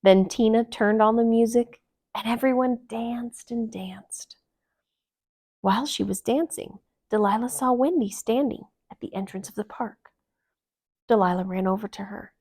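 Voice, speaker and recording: A woman reading a children's storybook aloud in an expressive, deliberate storytelling manner. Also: a little high.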